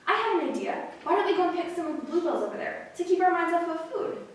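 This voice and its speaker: Woman acting an earnest, high-voiced little boy, echoey. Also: sing-song, fast talker. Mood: soothing. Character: sad, earnest little bootblack boy, protective of his sister. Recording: echoey room from far off, faint noise.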